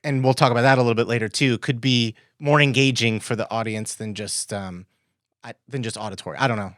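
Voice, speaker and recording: A man's voice: mid-range voice, fairly even intonation, quick pace, a bit bright, dry recording, studio quality. A man hosting a casual interview podcast, tentatively rephrasing his questions and thoughts as he goes.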